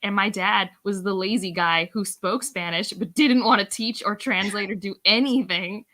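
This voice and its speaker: A woman's voice: mid-range voice, moderate pace, bright, forceful tone. A woman in her thirties from the United States, chatting casually as a book club host and laughing often at herself. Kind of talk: casual chat.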